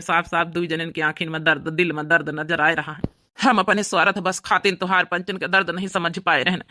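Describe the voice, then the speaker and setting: Man acting an earnest, bright-voiced Awadhi elder brother. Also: sits high, no echo. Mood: moved, resolute. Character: earnest self-sacrificing brother giving up his son, emotional. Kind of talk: homemade cartoon family drama voice-over.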